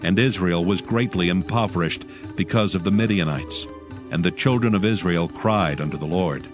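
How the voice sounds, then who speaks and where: Low-voiced male scripture narrator. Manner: measured, solemn. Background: music.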